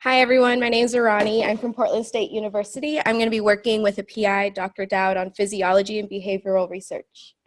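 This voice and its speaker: A woman's voice: mid-range voice, flat delivery, fast talker, hardly any pauses. A woman student briefly introducing herself at an orientation. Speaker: student participant. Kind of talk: self-introduction.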